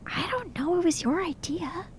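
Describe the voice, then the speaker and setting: A woman with a high-pitched voice plays a tabletop roleplaying game. Her delivery swings up and down in a lively way, casual and playful, as she improvises with quick jokes. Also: slight background noise.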